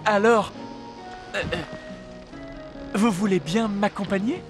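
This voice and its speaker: A man's voice: sits high, slow pace, over music. A man playing a lovestruck, gallant young king in a fairy-tale cartoon, with a courtly, earnest and melodramatic delivery. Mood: hopeful. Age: young adult. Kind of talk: cartoon voice acting.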